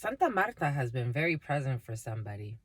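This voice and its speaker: A woman whose first language is Spanish, giving a halting intuitive spiritual reading to viewers, with many fillers and repeated phrases. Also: a bass voice, big pitch swings, slightly rough, no room sound. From Dominican family, Spanish first language. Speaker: spiritual reader.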